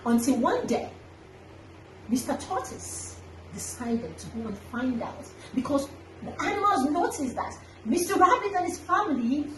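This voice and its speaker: High, animated woman storyteller, echoey room. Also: a little slow. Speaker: children's storyteller and host. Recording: echoey room, distant mic, faint noise.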